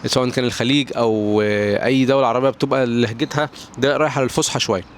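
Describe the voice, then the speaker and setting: Young Egyptian guy, Egyptian accent, very fast rambling vlog talk, faint traffic outside. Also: medium pitch, steady tone. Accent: Egyptian Arabic. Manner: rambling, many restarts and fillers, mixes in English words. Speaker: English pronunciation tiktoker. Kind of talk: casual advice vlog. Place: at home near a balcony door.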